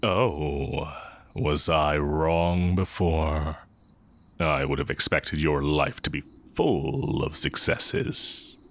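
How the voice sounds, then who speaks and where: A man playing an exiled heretic magic teacher, sly, brooding and tender, in an intimate, deliberate audio roleplay performance. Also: very deep voice, heavily acted. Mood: curious, probing. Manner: deliberate, teasing, with long pauses.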